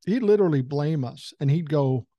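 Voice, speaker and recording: A man's voice: a little high, lively intonation, normal speed, no echo, dull audio. A man in his sixties hosting a podcast from a room at home, talking casually and bluntly and swearing now and then, with a common cold. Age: 60s. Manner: blunt, profane and conversational. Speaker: podcast host. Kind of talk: casual political commentary monologue. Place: home room with a couch behind him. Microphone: large foam-covered dynamic mic close to the mouth.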